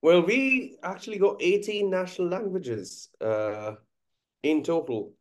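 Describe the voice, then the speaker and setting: A man's voice: high-pitched, lively intonation. Man speaking thoughtfully. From India. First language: Bengali. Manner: measured, thoughtful, frequent uh pauses. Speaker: guest musician and teacher. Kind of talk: conversational podcast interview.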